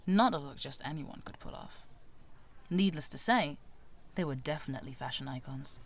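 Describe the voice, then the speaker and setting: A woman narrating fiction as an amateur audiobook reader, with an expressive, theatrical delivery. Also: low-pitched, moderate pace, vocal fry. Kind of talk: dramatic scripted narration of fan fiction.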